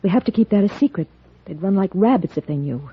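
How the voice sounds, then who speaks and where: An actress playing a weary, dutiful, determined frontier doctor in an old radio western. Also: female voice, mid-range voice, animated, fast talker, breathy edge. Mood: grave, urgent. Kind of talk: 1950s radio western acting. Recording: dull old radio recording.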